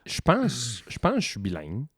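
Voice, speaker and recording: A man's voice: mid-range voice, huge pitch swings, normal speed, fairly bright tone, slightly rough, no echo. A man with a Québécois French accent chatting casually as a podcast co-host, peppering his talk with slang, filler and laughs. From Quebec. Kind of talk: casual chat.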